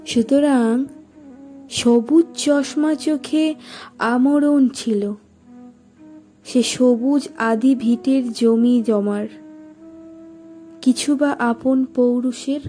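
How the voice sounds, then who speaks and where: A woman reciting poetry in a dramatic style, with measured, deliberate phrasing and expressive pauses. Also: fairly high pitch, soft background music.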